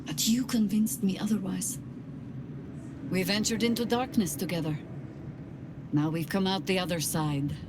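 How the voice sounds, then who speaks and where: A woman voicing a seasoned older adventurer in a video game, steadfast and resolute, speaking in a measured, earnest and grave manner. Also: medium pitch, steady tone, background noise, lightly acted. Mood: warm resolve. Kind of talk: video game voice acting, dramatic dialogue.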